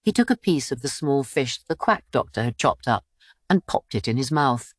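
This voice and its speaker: A woman's voice: rumbling low, sing-song, normal speed, no room sound, clean studio sound. A woman reading scripted story narration for an audiobook.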